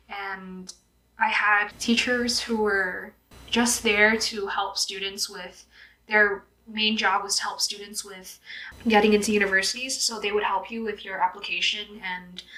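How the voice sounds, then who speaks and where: A young woman from Vietnam in her twenties talks to her viewers in a loose, get-ready-with-me chat. Her voice is bright and clear. She laughs easily and sprinkles her talk with "like" and "um". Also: mid-range voice, low-quality recording. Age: 20s. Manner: chatty, laughs often, lots of "like" and "um". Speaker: YouTuber. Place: indoors at home in a city, doing makeup.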